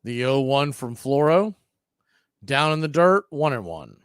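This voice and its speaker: A man calling baseball play-by-play for a fan radio stream, mixing pitch calls with blunt opinionated asides. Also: expressive, unhurried, smooth, no room sound, studio quality.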